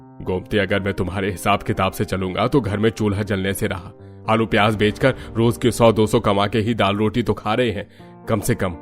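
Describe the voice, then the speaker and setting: A man's voice: fairly low pitch, little pitch movement, moderate pace, soft background music, close to an everyday voice. A man voicing an older father-in-law, a plain-spoken poor vegetable seller, in a Hindi cartoon story. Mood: grumbling.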